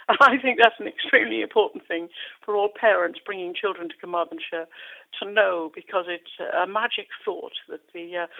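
A woman's voice: mid-range voice, gravelly. A woman, a national poet being interviewed, talking with passion and a ready laugh, often repeating words. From Wales. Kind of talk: radio interview. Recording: tinny phone-like line.

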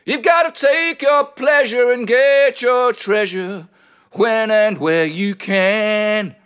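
A man's voice: sits high, takes it slowly, fairly bright tone, clear, resonant tone. A man performing comic spoken-word poetry in a playful, whimsical way, with rhythmic, rhyming delivery. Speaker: poet and comedian. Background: plucked guitar music.

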